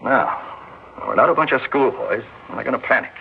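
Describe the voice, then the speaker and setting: A gruff army general snaps out orders in a voice that rises and falls a lot. It comes through a thin, phone-like old radio broadcast with steady hiss. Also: male voice, mid-range voice, fairly quick. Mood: barking. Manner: barking orders, brusque. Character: commanding male army general, gruff and blustering. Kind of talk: 1960s radio drama acting.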